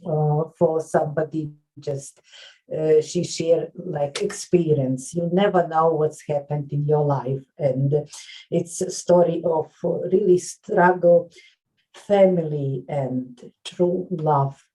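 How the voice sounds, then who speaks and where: A Lithuanian woman who works as a nurse and writes books, answering interview questions in halting English with frequent pauses and fillers. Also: low-pitched, steady tone, dark tone, far from the mic, poor audio. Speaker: author and nurse.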